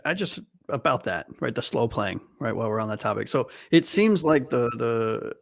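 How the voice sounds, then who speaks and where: A man's voice: medium pitch, expressive, moderate pace, gravelly, dry recording, clean studio sound. Chatty man in his late thirties. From Miami. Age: late 30s. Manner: chatty, rambling, drops in frequent "right?". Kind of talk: casual podcast chat.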